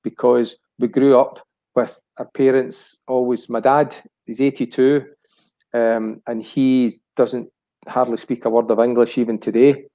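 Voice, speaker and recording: A man's voice: medium pitch, flat delivery, a little slow, mellow, highs cut off. A Scottish man of about sixty reminiscing casually as a chat show guest, rambling and searching for words. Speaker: chat show guest, family business director.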